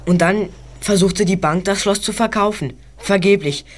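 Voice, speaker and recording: An actor playing Bob, a studious boy detective, reporting in a factual, measured storytelling manner in an audio drama. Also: high voice, slight background noise, close to an everyday voice. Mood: informative.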